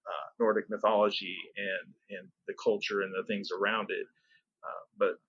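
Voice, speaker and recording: A man's voice: lowish voice, little pitch movement, normal speed, fairly bright tone, slightly rough, no room sound, dull audio. Upbeat, chatty man hosting a podcast. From United States. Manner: upbeat and chatty, laughs easily. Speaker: podcast host. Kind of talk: casual podcast interview.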